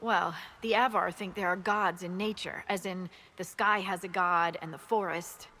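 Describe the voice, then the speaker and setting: An actress playing a brisk woman military scout in a video game, briefing in a frank, dry way. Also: on the low side, steady tone, sharp tone, a little hiss or hum.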